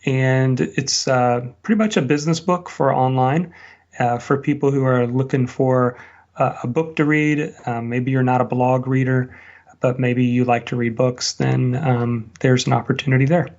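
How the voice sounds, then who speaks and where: The voice is a man's, at an ordinary middle pitch. He speaks as a guest in an interview, telling reflective stories and passing on advice conversationally. The sound is somewhat dull and low in fidelity. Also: steady tone, normal speed, darkish tone. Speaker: interview guest, blogger and online business teacher.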